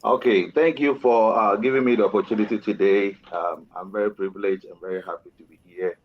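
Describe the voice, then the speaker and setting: A man from Ghana introducing himself on a panel discussion, pausing often with fillers. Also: on the low side, fairly even intonation. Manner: hesitant, many fillers. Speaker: panelist, chemical engineer. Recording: dry, dull lo-fi sound.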